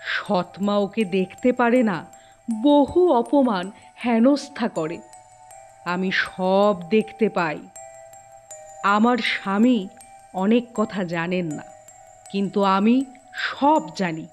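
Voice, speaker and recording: A woman's voice: medium pitch, soft background music, close to an everyday voice. A woman playing the ghost of a dead mother, stern and protective, in solemn, commanding tones for an audio ghost story. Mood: aggrieved, bitter. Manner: solemn, commanding, deliberate warnings. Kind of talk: dramatised Bengali ghost story acting.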